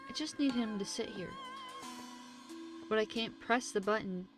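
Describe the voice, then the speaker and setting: A woman's voice: on the high side, moderate pace, music in the background. A woman game streamer giving casual, sweary commentary, muttering to herself as she plays. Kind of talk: casual live gameplay commentary.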